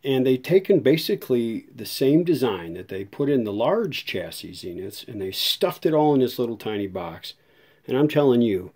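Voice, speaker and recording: A man's voice: medium pitch, dark tone. An American man chatting casually to viewers as a hobbyist host, in a folksy, conversational way. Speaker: hobbyist radio restorer and video host. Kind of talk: casual vlog chat to viewers.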